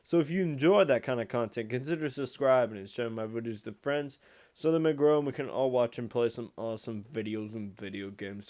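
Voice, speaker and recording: A man's voice: medium pitch, darkish tone. A young man hosting a reaction video, chatting casually to camera while speaking stiffly through a sore tongue. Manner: chatty, laid-back.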